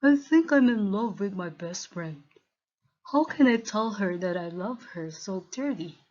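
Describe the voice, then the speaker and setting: Woman voicing a nervous lovesick young man, slow-spoken. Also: medium pitch, expressive, darkish tone. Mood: wistful. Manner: earnest, hesitant, halting. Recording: dull, lo-fi voice recording.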